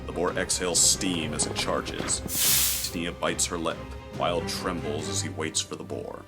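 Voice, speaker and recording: A man's voice: very deep voice, steady tone, measured pace, over loud music. A man reading scripted, dramatic third-person story narration for a fantasy audio drama.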